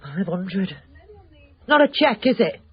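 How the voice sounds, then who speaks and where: An actress playing an earthy, suspicious working wife in a radio drama, blunt and colloquial. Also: female voice, mid-range voice, sing-song, measured pace, husky, full character voice. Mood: incredulous, wary. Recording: slightly dull radio broadcast sound.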